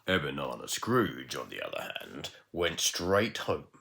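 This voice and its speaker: A man reading a children's story aloud in a measured, expressive way with dramatic pauses. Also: extremely low pitch, creaky, a little hiss or hum. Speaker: teacher reading a bedtime story.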